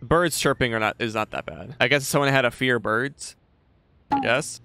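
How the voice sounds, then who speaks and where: Bright-voiced young man doing gaming commentary. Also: mid-range voice, normal speed, clear, resonant tone, dry recording. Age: young adult. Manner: expressive, frequently swearing. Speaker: gaming video host. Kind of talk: casual let's play commentary. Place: small indoor gaming room. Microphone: close boom-arm desk microphone. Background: game audio and music.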